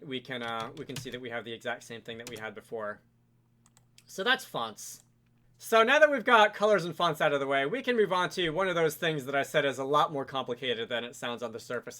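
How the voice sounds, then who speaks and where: A man presenting a software tutorial in a conversational, explanatory way, with casual asides. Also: medium pitch. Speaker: tutorial host, game programming instructor.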